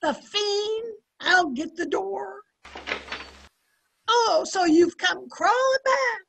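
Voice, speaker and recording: A woman's voice: very high voice, expressive, very slow, creaky, noisy background, heavily acted. An older woman playing a brash, sarcastic housemaid in an amateur old-time radio comedy, over a video call. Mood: sardonic. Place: room at home, video call.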